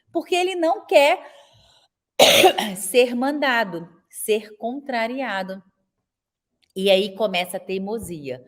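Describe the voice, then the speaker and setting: A woman in her forties with a Brazilian accent, teaching a live online class for elder caregivers in a chatty way and often checking in with her viewers. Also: sits high. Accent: Brazilian Portuguese. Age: 40s. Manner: chatty, tags nearly every sentence with a question to viewers.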